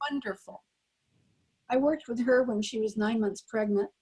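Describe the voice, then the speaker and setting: A woman's voice: fairly high pitch, normal speed, mellow, husky. An older woman speaking casually as a panel guest, reminiscing on a video call. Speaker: panel guest, former radio actress.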